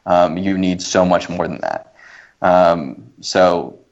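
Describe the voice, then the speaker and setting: Low-voiced man, dull lo-fi podcast sound. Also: slow, gravelly, a little mellow. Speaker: podcast guest. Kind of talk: casual film podcast discussion. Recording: dull, lo-fi voice track.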